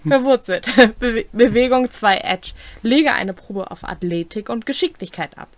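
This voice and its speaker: A woman's voice: on the high side, animated, moderate pace, dry recording. A young woman co-hosting, reading tabletop game rules aloud and chatting casually. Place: small home room, indoors.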